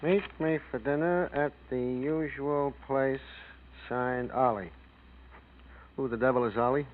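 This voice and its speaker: An actor playing a wry, inquisitive police sidekick with a casual, wisecracking delivery in 1940s radio style. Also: male voice, medium pitch, fairly even intonation, unhurried, a little hiss or hum, natural voice. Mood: wry, curious. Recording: tinny old radio recording.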